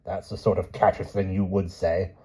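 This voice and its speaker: A man voicing a quarrelsome older king who speaks furiously and shouts. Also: low voice, level tone, normal speed, slightly rough. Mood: irate.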